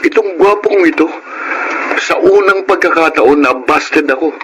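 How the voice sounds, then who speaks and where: A man's voice: a little high, unhurried, heavy background noise, close to an everyday voice. An actor playing an arrogant, spoiled young man in a Filipino radio drama, boastful and petulant. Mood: sulky, wounded pride.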